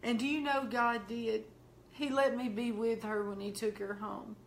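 A middle-aged woman minister with a Southern accent, telling heartfelt stories slowly in a preaching manner during a conversation. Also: a little high, fairly even intonation, a little hiss or hum. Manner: slow, heartfelt preaching delivery. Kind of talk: testimony-style storytelling in conversation.

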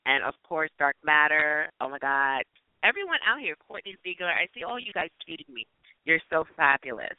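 A man's voice: a little high, big pitch swings, normal speed. A man hosting a call-in show, talking in an effusive, slangy way with a lot of swearing. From New York City. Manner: gushing, effusive, slangy and profane. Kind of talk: casual call-in podcast chat. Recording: tinny phone-line audio.

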